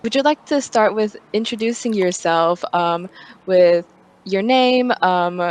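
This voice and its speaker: American interviewer hosting an online roundtable. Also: male voice, high voice, a little slow, clean tone. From United States. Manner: friendly, hesitant with many ums. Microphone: video call.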